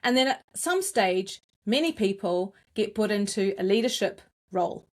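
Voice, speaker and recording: Woman with a New Zealand accent, guest coach, measured and crisp articulation. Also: mid-range voice, animated. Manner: deliberate, clearly articulated cadence. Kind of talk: interview answers.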